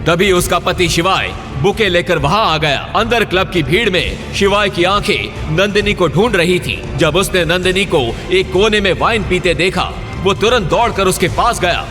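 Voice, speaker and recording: High-voiced male dramatic narrator with reverb. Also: moderate pace. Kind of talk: dramatic scripted narration of audio story. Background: music.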